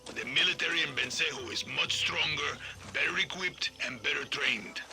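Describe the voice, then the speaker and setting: An actor playing a swaggering, teasing guerrilla mentor in a video game. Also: male voice, a little high, animated, moderate pace, creaky, pushed and bright, a little hiss or hum, full character voice. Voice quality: brash, teasing. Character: swaggering veteran male guerrilla mentor, wisecracking. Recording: game soundtrack music behind.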